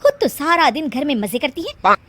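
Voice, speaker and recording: A woman's voice: high-pitched, very animated, normal speed, very noisy. A woman voicing an overworked, touchy young working mother and daughter-in-law in a moral story. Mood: complaining. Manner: complaining, defensive.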